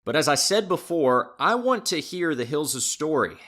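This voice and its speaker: A man's voice: on the high side, sing-song, moderate pace, sharp tone, exaggerated voice. An actor playing a patient, puzzled man in authority who questions people formally in an audio drama. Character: measured, puzzled estate arbiter hearing a dispute. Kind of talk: acted audio drama dialogue.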